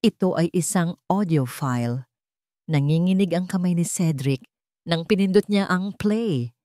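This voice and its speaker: A woman's voice: fairly low pitch, measured pace, dark tone, no room sound, studio quality. A woman reading a scripted dramatic story as its narrator.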